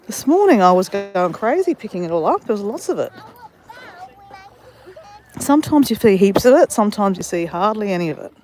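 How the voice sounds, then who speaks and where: A woman's voice: medium pitch, very animated, brisk, long pauses, darkish tone, slight background noise. An Australian woman chatting casually while walking on a beach, telling a rambling story full of self-corrections. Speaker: vlogger. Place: outdoors on a beach.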